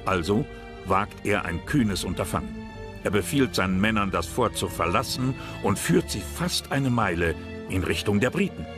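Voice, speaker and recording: A man's voice: fairly low pitch, expressive, moderate pace. A man reading scripted historical narration for a documentary. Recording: music behind the voice.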